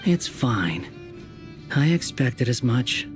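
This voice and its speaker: Aloof young wanderer, music behind. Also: male voice, mid-range voice, big pitch swings, takes it slowly. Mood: aloof, dry. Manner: cool, dry, curt. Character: aloof, sardonic young male wanderer posing as scholar. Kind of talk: video game voice acting.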